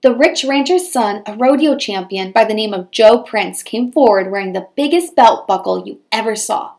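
A woman teacher reading a children's storybook aloud with expressive, dramatized storytelling. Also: a little high, moderate pace.

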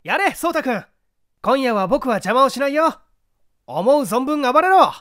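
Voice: high-pitched, very animated, measured pace, a bit bright, dry recording, studio quality, voice pushed up, exaggerated voice. A reader playing a confident young male detective, wry and commanding, in an audiobook mystery. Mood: excited, egging on.

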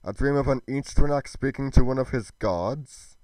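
A man voicing a haughty, suspicious ruler in a game roleplay, speaking in formal, measured, imperious old-fashioned phrases. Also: mid-range voice, warm tone, dry recording, natural voice, low-quality recording. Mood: cold suspicion. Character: haughty, suspicious male ruler questioning his informant. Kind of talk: amateur game roleplay drama.

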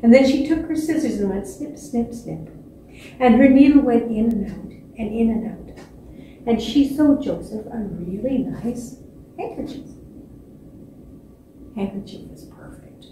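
A woman in her sixties telling a children's story expressively, with dramatic pauses and repetition. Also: a little high, mellow. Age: 60s. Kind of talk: animated children's storytelling from memory. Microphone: distant camera microphone. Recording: echoey stage, distant mic, faint noise.

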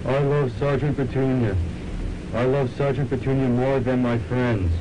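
A man's voice: mid-range voice, flat delivery, normal speed, close to an everyday voice. A man playing a flowery, melodramatic young poet in a student radio drama, declaiming grandly. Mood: dreamy. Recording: noisy amateur radio drama tape.

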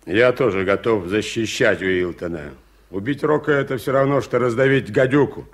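An actor playing a cautious, worried establishment man in a 1980s Soviet radio drama, speaking deliberately. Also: mid-range voice, close to an everyday voice. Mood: firm.

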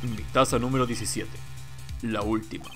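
Guy doing a cool taunting prosecutor, drops his voice low, game dub, faint music. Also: normal speed, lightly acted. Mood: cool, menacing.

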